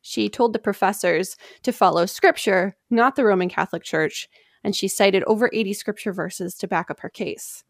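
A woman's voice: mid-range voice, normal speed, dry recording, studio quality. A woman hosting a podcast, telling history from prepared notes in an informal, explanatory way with casual asides.

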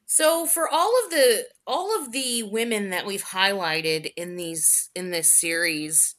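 A woman co-hosting a podcast, thinking aloud haltingly in casual, reflective discussion. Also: medium pitch, expressive, fairly bright tone. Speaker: podcast co-host.

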